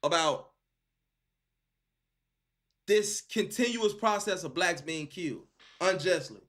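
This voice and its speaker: A man's voice: high voice, lively intonation, slow pace, a bit bright. A man hosting a live call-in stream, chatting casually and encouragingly in slangy talk, repeating phrases for emphasis. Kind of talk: casual chat with a caller.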